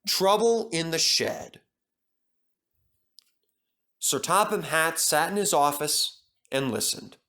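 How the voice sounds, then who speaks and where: A man's voice: fairly high pitch, pushed and bright. A man reading a scripted children's story as narrator. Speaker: storyteller, reader.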